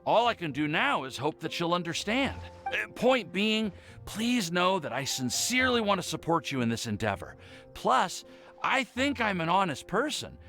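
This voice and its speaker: A man's voice: high voice, big pitch swings, moderate pace, pushed and bright, soft background music, full character voice. An actor playing an earnest, lovestruck young man full of self-doubt in a video game, speaking formally and hesitantly. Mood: earnest, flustered.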